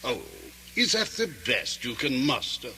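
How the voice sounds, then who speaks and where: An actor playing a sly, cruel nobleman in a radio drama, speaking with pompous mock courtesy and sinister smoothness. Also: medium pitch, sing-song, unhurried, pushed and bright. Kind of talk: theatrical radio drama acting. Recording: noisy vintage radio drama recording.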